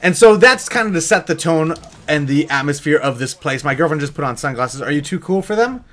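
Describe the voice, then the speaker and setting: A man with a high-pitched American voice tells a storytime tale to his viewers. He rambles casually, joking and teasing as he goes. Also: expressive, fairly quick, fairly bright tone. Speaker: YouTube vlogger telling a story.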